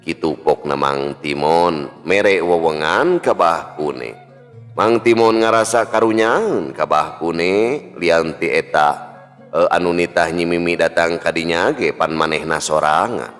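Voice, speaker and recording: A man's voice: low-pitched, takes it slowly, a bit bright. A man with a Sundanese accent narrating a folk tale in a storyteller's style. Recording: long hall reverb with music. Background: music.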